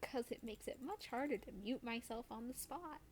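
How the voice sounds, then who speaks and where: Playful higher-voiced woman streamer over background noise. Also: lively intonation, moderate pace, darkish tone. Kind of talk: casual chat while playing a video game on a stream.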